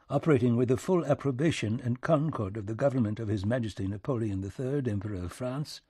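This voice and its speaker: A man playing a formal, reluctant British diplomat in an audiobook, speaking in measured, courteous sentences. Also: medium pitch, warm tone, breathy edge, no echo, studio quality. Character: Lord Lyons, formal, reluctant middle-aged British diplomat.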